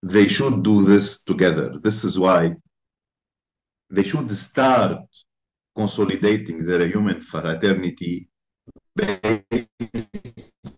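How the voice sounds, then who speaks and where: A man giving a formal conference talk, speaking in slow, emphatic phrases. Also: sits low, big pitch swings, creaky, echoey room, rough recording. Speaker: invited speaker.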